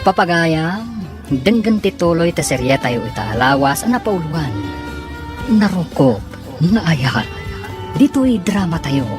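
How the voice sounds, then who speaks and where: A woman's voice: low-pitched, sing-song, unhurried, pauses often. A woman with a Filipino accent reading scripted narration and announcements for a radio drama serial. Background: music.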